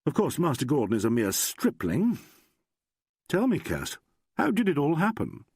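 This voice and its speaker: A man doing an urbane, thoughtful gentleman detective from an audiobook, murmuring with quiet, wry calm. His pitch still moves with lively shape. It is recorded dry and close. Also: moderate pace, slightly rough, dry recording, heavily acted. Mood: musing.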